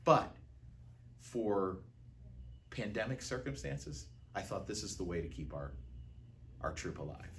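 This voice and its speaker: A man's voice: on the low side, big pitch swings, measured pace, pauses often, fairly bright tone, slight background noise. An American man giving a conversational interview account as a theater art director, telling it like a storyteller.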